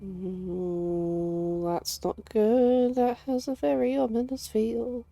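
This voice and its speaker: A woman's voice: fairly high pitch, flat delivery, slow, creaky, mellow. A woman giving casual, offhand live commentary as a video game streamer. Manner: offhand, informal.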